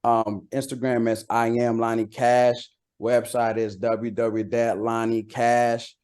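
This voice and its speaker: A man speaking as a rapper being interviewed, chatting casually in a slangy, halting way. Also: medium pitch, level tone, darkish tone, smooth. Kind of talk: casual chat.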